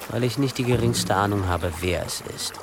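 A man's voice: lowish voice, normal speed, noisy background, close to an everyday voice. An actor in a radio drama playing a brusque, sardonic pilgrim who teases his companion. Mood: secretive. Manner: sardonic, teasing. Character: brusque, mocking male pilgrim with secret aims.

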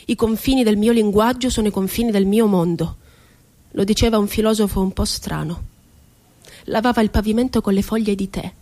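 An actress performing a theatrical monologue as an impassioned, rebellious, poetic woman, declaiming with deliberate, emphatic phrasing. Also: medium pitch, dark tone, close to an everyday voice. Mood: grave. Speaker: stage actress.

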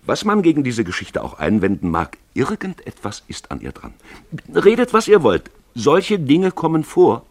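A man's voice: medium pitch, wildly swooping pitch, normal speed, no echo. A man giving theatrical, wry literary narration as the storyteller of a radio play.

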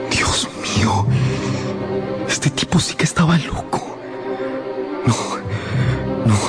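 An actor playing an earnest, anxious young nephew in a radio drama, hesitant and dramatic. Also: male voice, lowish voice.

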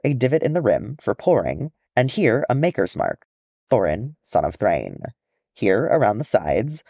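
A man reading a fantasy story aloud as expressive scripted narration. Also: mid-range voice, dry recording, studio quality. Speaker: audiobook reader of fan fiction.

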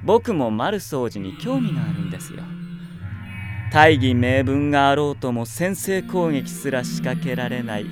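An actor playing a scheming, cruel young sorcerer with mockingly polite, unhurried speech in a Japanese radio drama. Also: male voice, mid-range voice. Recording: drama production with music behind.

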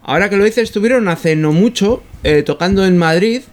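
A man from Bilbao, a band guitarist and singer, chatting on a radio show and telling stories in a relaxed, hedging way. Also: high-pitched, fairly quick. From Bilbao, Spain. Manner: relaxed storytelling, hedges with "creo". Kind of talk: casual radio chat.

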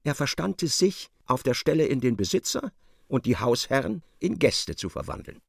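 A man giving expressive, scripted literary narration in a radio play. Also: medium pitch, normal speed, gravelly, breathy edge, no echo, studio quality.

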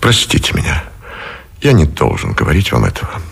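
A deep, gravelly, weary admiral. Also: male voice, wildly swooping pitch, exaggerated voice. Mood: bitter, anguished. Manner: slow, deliberate delivery with heavy pauses. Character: weary, brooding admiral, supreme commander facing inevitable defeat. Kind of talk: radio drama acting.

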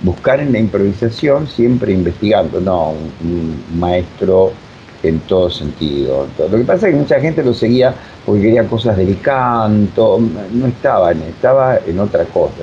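An Argentine man explaining as an interview guest, speaking haltingly and repeating words as he searches for phrasing. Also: low-pitched, animated. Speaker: interview guest, radio drama director. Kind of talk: spontaneous explanatory interview talk. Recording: dull lo-fi audio, clear noise.

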